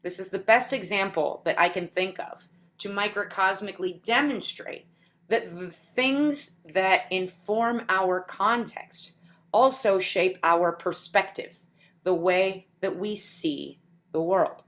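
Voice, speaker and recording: A woman giving a conference talk in a deliberate, explanatory way, slowing down to stretch key words. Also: medium pitch, a bit bright. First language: English. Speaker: conference speaker. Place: home setup for a virtual conference.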